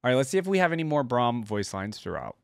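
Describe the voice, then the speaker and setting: Casting: enthusiastic male reaction host, mid-pitched, lively intonation, dry close-miked sound. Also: quick pace, creaky, no room sound. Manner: enthusiastic, informal. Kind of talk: casual reaction commentary.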